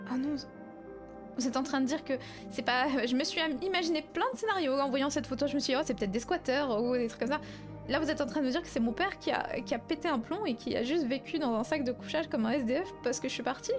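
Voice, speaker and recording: A young woman with a high voice, played by a female roleplayer, polite and apologetic in an online game scene. She speaks hesitantly, like a worried daughter, with music playing behind her. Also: fast, lightly acted. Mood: distressed. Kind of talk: improvised French online roleplay. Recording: background music under the voice.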